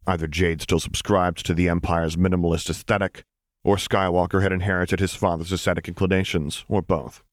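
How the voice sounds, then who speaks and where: Male audiobook narrator, low pitch, scripted fiction reading, dry close recording. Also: little pitch movement, brisk, dry recording.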